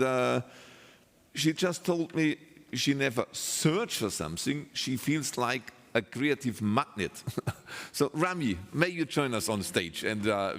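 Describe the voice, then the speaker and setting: Male stage host, mid-pitched, upbeat and loose in phrasing, long hall reverb. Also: a bit bright. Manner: loose non-native phrasing, upbeat thanks. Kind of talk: live stage interview.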